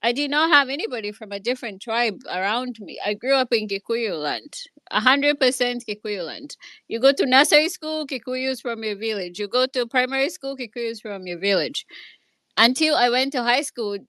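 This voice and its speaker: A woman's voice: on the high side, expressive, moderate pace, pushed and bright, dry recording. A woman with a Kenyan accent telling a personal story in an online discussion, earnest and hesitant, with many restarts. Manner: earnest, speaks from her own experience. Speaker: speaker in an online audio discussion.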